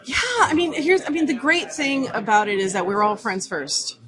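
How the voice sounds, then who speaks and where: A woman's voice: fairly high pitch, expressive, moderate pace. A woman giving casual, explanatory interview answers as a guest, recorded live at a convention. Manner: informal, explanatory. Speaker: comic writer, interview guest. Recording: busy convention crowd behind. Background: crowd chatter.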